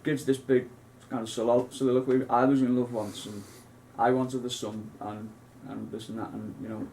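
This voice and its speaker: A man's voice: medium pitch, little pitch movement, normal speed, mellow, a little hiss or hum, poor audio. A man, co-hosting a casual film chat, rambling with many restarts and asides. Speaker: co-host.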